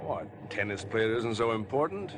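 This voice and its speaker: An actor playing a polite but guarded young tennis player, terse and a little jittery, in 1950s radio drama style. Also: male voice, very animated, moderate pace. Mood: polite, reserved. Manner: terse, reserved, politely brushing off. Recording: noisy 1950s radio broadcast, train rumble.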